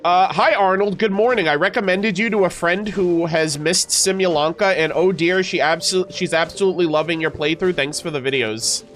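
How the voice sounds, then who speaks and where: Stuffed-up male streamer, high-pitched, chatty and halting, faint music under him. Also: fairly bright tone. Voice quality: stuffed-up, nasal. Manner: chatty, hesitant with many fillers and restarts. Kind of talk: casual game stream chat.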